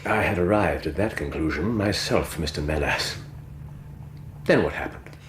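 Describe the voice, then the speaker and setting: An actor playing a cool, incisive detective in a radio drama, questioning with dry, sardonic precision. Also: male voice, sits low, normal speed, natural voice. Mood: dryly impatient. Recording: roomy echo, faint noise.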